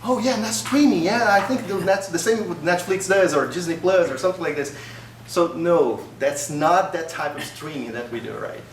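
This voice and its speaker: A man's voice: fairly high pitch, normal speed. A man in his thirties from Brazil with a Brazilian Portuguese accent, giving a casual conference talk as a developer advocate, often adding tag questions. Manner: conversational, frequent tag questions. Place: small meeting room, indoors. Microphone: far camera. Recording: roomy, distant, faint hiss.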